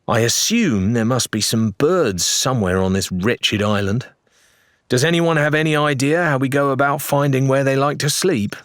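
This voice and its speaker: A man's voice: medium pitch, lively intonation, normal speed, no echo, clean studio sound, voice pushed up, lightly acted. A man voicing a practical, decisive woman who leads adventurers in an audiobook. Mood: practical, impatient. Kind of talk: audiobook character voice.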